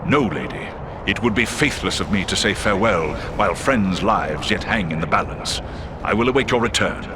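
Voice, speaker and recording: An actor voicing a giant, noble eagle in a video game, solemn and loyal, with formal, archaic phrasing. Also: male voice, lowish voice, animated, normal speed, background noise, lightly acted.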